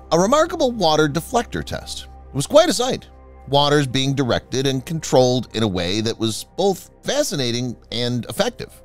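A man narrates in a scripted voice-over, his pitch leaping and dropping far more than usual. The delivery is dramatic and swooping, set over faint background music. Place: voice-over, not recorded on site.

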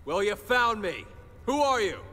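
An actor playing a brash, sceptical city SWAT cop in a video game, blunt and cocky, with an American accent. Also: male voice, sits high, very animated, a little slow, pushed and bright, full character voice. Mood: wary. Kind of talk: video game cutscene acting. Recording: faint noise and ambience behind.

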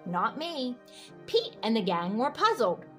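A woman's voice: a little high, big pitch swings, a little slow, faint music behind. A woman reading a children's picture book aloud expressively, with sing-song refrains and little questions to young listeners. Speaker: read-aloud host.

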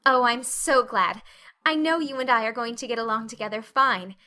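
A woman's voice: fairly high pitch, normal speed, bright, forceful tone. A woman voicing a talkative, dreamy, dramatic orphan girl with an earnest, effusive manner in an audiobook. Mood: eager, happy.

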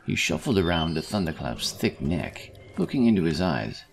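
A man's voice: sits low, big pitch swings, normal speed, creaky, soft background music. A man reading scripted fantasy narration as the storyteller.

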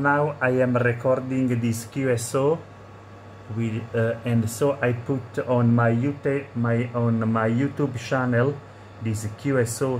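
A man's voice: medium pitch, a little mellow. Italian-accented man on ham radio, noisy recording. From Italy. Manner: repeats phrases, speaks in formulaic radio procedure. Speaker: amateur radio operator. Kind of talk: ham radio contact with phonetic call signs. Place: indoors at a radio set. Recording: noisy handheld room recording.

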